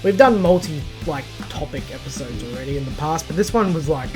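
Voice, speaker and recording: Higher-voiced Australian man chatting over faint music. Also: animated, brisk. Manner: sweary, informal. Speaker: podcast co-host. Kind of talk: casual off-the-cuff podcast chat.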